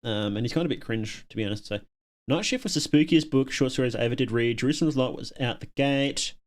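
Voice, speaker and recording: A man's voice: mid-range voice, fast talker. A man hosting a casual livestream, chatting to viewers and thinking aloud in a rambling, opinionated way. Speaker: livestream host.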